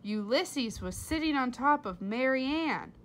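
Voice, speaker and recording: A woman reading a story aloud in an expressive, animated and deliberate way. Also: sits high, a little hiss or hum. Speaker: storybook reader. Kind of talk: expressive read-aloud narration.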